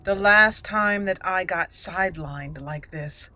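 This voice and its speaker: A woman hosting a roleplay let's play, answering in character and commenting with deliberate, theatrical phrasing. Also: lowish voice, sharp tone. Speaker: gaming channel host.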